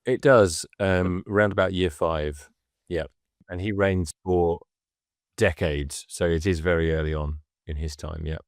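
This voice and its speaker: A man co-hosting a podcast and explaining history in casual chat, measured and hesitant with many ums. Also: low voice, fairly even intonation, dry recording, clean studio sound.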